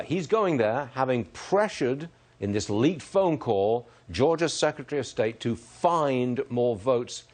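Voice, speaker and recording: A man's voice: medium pitch, darkish tone. A man hosting a live TV news interview, putting pointed questions to a guest.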